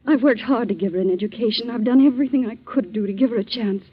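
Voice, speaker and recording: A woman's voice: fairly high pitch, fast, slightly rough. An actress playing an ailing, remorseful middle-aged mother in a 1940s radio drama, weary and pleading. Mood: weary regret. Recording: muffled, scratchy 1940s radio broadcast.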